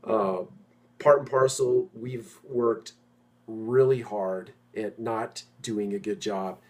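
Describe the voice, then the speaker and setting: A middle-aged man talking informally to his industry peers as an executive host, stressing points by repeating phrases and pausing mid-sentence. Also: fairly low pitch, steady tone, darkish tone. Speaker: property management executive and host.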